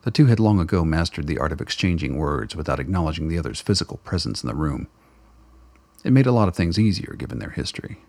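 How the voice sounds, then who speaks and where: Gravelly, extremely deep male narrator. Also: big pitch swings, quick pace, darkish tone, no echo. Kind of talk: dramatic scripted narration of a radio play.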